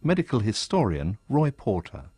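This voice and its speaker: A man presents a radio documentary in dramatic, slow-building narration. His voice is low but lively in pitch, recorded close and dry. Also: breathy edge, dry recording.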